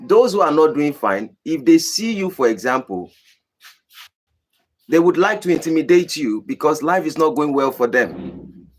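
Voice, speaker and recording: A man from Nigeria with a Nigerian accent, hosting a live stream and answering viewer questions in a deliberate, emphatic way. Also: expressive. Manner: deliberate, emphatic, rising to high emphatic bursts. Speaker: channel host.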